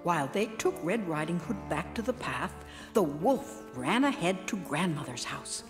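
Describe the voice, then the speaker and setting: Lively, playful cartoon storyteller. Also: female voice, fairly low pitch, moderate pace. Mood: storytelling. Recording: music behind the voice.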